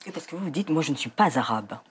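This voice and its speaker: A woman's voice: sits low, expressive, fast talker, breathy edge. A middle-aged woman interviewing a guest in a television studio, with short questions and interjections.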